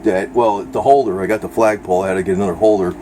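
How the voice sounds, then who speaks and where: A man's voice: sits low, steady tone, rapid-fire, mellow, a little hiss or hum. An American man chatting casually off the cuff in a rambling, informal, folksy way. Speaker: vlogger.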